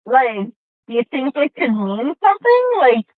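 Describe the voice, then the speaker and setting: A woman's voice: sits high, sing-song, moderate pace, no room sound. Phone-sounding dry audio, girl playing a curious innocent robot in a tabletop game, kinda hesitant and puzzled. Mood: puzzled, wondering. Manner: hesitant, wondering, peppered with questions. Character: naive, curious mechanical being puzzled by its first dreams. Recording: muffled tinny phone-like audio from a car.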